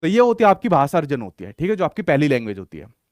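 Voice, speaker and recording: A man's voice: a little high, wildly swooping pitch, fast talker, dry recording, clean studio sound. A young male teacher lecturing in an online exam-prep class, repeating points and asking rhetorical questions he answers himself. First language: Hindi.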